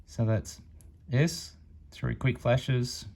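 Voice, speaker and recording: A man's voice: medium pitch, steady tone, extremely slow, gravelly, darkish tone. A man casually demonstrating a gadget hands-on, explaining it step by step in a matter-of-fact way. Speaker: amateur radio reviewer and channel host.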